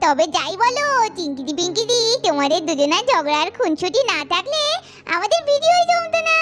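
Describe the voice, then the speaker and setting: A woman's voice: very high voice, sing-song, brisk, music in the background. A woman voicing a cheerful little girl in a doll cartoon, chattering and welcoming. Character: cheerful little girl Pari, the house favourite.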